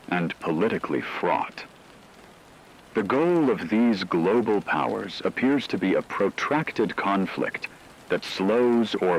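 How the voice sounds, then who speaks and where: A man voicing a grave radio war correspondent, reading a scripted news report in a formal, measured way. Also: deep voice, fairly bright tone, a little hiss or hum, full character voice, low-quality recording.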